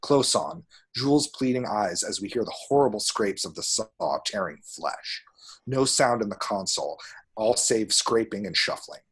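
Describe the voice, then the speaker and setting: A man's voice: fairly low pitch, animated, normal speed, fairly bright tone, breathy edge. A man reading scripted screenplay action descriptions as the narrator of a table read. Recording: home recording.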